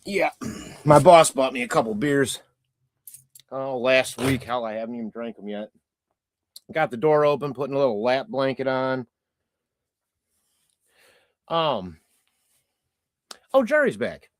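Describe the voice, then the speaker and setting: A man's voice: medium pitch, animated, unhurried, pauses often, no echo, clean studio sound. A man whose native language is Croatian, hosting a casual livestream and reading out chat in a rambling, chatty way with many fillers and restarts. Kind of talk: casual live-stream chat.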